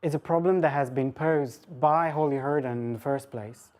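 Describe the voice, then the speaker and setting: A woman's voice: deep voice, moderate pace, darkish tone. A woman giving an academic talk, rambling and self-deprecating as she thinks aloud. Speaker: lecturer and speaker. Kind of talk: academic talk with audience questions.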